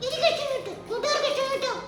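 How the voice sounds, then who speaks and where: An extremely high, squeaky little girl puppet chirps with naive, cheeky eagerness in a puppet show. She speaks quickly and excitably, in a very echoey space with loud music behind. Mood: cheeky, cheerful. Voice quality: squeaky, childlike. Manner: exclaiming, naive, eager interjections.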